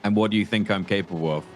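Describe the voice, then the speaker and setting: Man acting a deep-voiced, menacing ex-trooper criminal. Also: darkish tone, a little hiss or hum, natural voice. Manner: deliberate, halting, repeats words, condescending. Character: menacing, bitter former state trooper turned confident criminal. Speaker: roleplayer. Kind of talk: improvised crime roleplay phone conversation.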